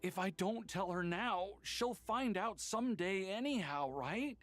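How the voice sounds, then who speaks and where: A woman's voice: mid-range voice, lively intonation, measured pace, no echo, soft background music, lightly acted. A woman voicing an anguished, self-doubting young character in a video game, hesitant and earnest.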